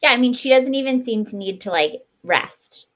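A woman's voice: fairly high pitch, lively intonation, normal speed, fairly bright tone. A woman hosting a podcast, chatting casually and expressively about books with an emphatic way of talking. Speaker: podcast host. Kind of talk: casual book discussion.

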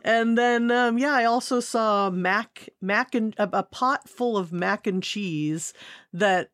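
A woman's voice: mid-range voice, takes it slowly, a bit bright, dry recording. Female podcast panelist, casual storyteller, dry studio-close sound.

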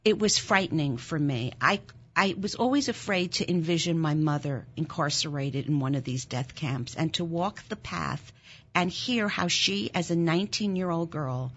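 Woman, 60s, born in Germany, German first language, low voice, intense earnest storytelling, slightly muffled audio. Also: moderate pace, breathy edge. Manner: earnest, intense, rises sharply when moved. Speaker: interview guest, nonprofit founder. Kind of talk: emotional personal storytelling in an interview. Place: Philadelphia.

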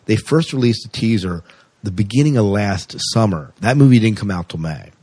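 A man's voice: fairly low pitch, animated, moderate pace, mellow, slightly rough, no room sound. A man co-hosting a podcast, chatting casually and enthusiastically about science fiction news. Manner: chatty, enthusiastic, asks his co-host questions. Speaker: podcast co-host. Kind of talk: casual sci-fi news chat.

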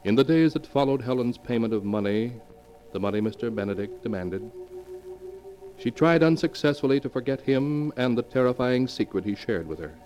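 An actor playing the grave narrator of a 1940s radio mystery, reading in a dramatic, portentous way. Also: male voice, mid-range voice, expressive, normal speed, a little mellow, close to an everyday voice, poor audio. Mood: ominous. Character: grave male narrator of mystery and terror tales. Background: music.

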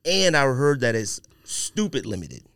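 A man hosting a casual sneaker podcast, talking in slangy, animated riffs and teasing his co-hosts. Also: medium pitch, measured pace, clear, resonant tone, no room sound, studio quality. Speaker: podcast host.

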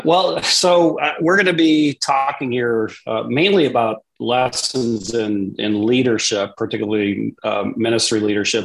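A man in his sixties, from Papua, hosting a podcast in a relaxed, rambling way with many pauses and restarts. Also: on the low side, creaky, low-quality recording. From Papua, Indonesia. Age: 60s. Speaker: podcast host. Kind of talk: casual interview chat.

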